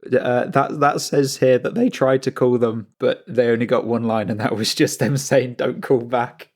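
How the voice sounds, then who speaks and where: A man hosting his own channel, talking slowly through an unscripted commentary with asides and frequent restarts. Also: medium pitch, steady tone, a little mellow. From England. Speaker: channel host.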